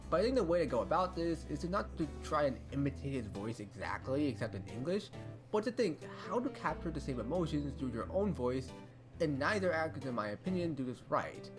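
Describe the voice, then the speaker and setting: Man, scripted video essay narration, measured, lively pitch, guitar music behind. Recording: narration played over music.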